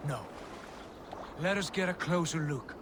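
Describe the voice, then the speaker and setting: A man as a high-voiced proud Viking jarl. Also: unhurried, very noisy, close to an everyday voice. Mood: firm, resolute. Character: proud, steady Viking jarl, commanding and reflective. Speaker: game character actor. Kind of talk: cinematic video game acting.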